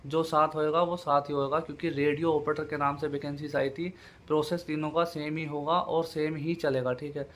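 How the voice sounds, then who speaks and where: A man's voice: a little high, level tone, a little fast, a bit bright, a little hiss or hum. A man giving an informal explainer to viewers as a channel host, repeating his points with familiar check-in tags. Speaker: YouTube host giving job exam updates.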